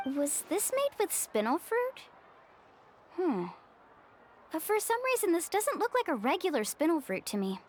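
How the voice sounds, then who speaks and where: A woman voicing an upbeat, friendly young tour guide in a video game, with an earnest, expressive delivery. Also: very high-pitched, measured pace, full character voice. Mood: curious, upbeat.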